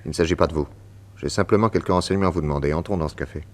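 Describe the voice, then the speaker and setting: Low-voiced, shrewd police inspector played by a man. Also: racing, darkish tone, natural voice. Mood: firm, reassuring. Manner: polite, probing questioning. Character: shrewd, courteous male police inspector, patiently probing. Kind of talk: early 1960s French radio drama acting.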